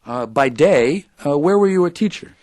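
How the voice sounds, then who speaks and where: An actor playing an earnest, literal-minded, bookish radio interviewer in an audio drama. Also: male voice, on the high side, expressive, normal speed, a little mellow, close to an everyday voice. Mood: polite, curious. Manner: pedantic, earnest.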